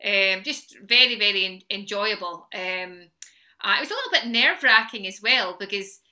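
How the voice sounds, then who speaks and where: Lady in her 40s chatting on a video call, really bright voice, keeps restarting. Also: medium pitch, animated, normal speed. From Scotland. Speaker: novelist on a panel. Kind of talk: casual panel talk. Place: home room with bookshelves.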